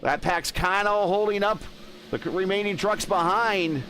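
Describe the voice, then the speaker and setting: A man's voice: high-pitched, moderate pace, a little hiss or hum. Man calling a virtual truck race. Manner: excitable play-by-play, chatty with stream chat. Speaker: lead race commentator. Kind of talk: live sim racing commentary.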